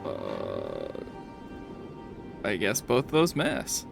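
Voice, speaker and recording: A man's voice: rumbling low, wildly swooping pitch, extremely slow, gravelly. A man acting as game master, narrating a tabletop roleplaying game in an informal, matter-of-fact way. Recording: music behind the voice. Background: music.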